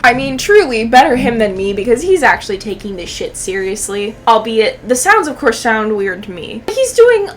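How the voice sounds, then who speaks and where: High-voiced young woman, sweary and joking. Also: moderate pace, hardly any pauses, slight background noise. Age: young adult. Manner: sweary, joking, animated slang. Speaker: YouTube commentator. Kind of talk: casual reaction commentary.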